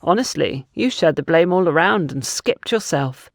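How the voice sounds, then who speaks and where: A woman's voice: sits low, animated, dry recording, clean studio sound. A woman voicing a stern man who questions bluntly and accusingly in an audiobook story. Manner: blunt, accusing, deliberate emphasis. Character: stern man interrogating and scolding a young woman. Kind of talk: audiobook character dialogue.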